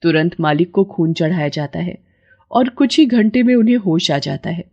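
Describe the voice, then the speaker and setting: A woman's voice: on the low side, lively intonation, normal speed, a little mellow, lo-fi sound. A woman narrating a scripted moral tale as storyteller.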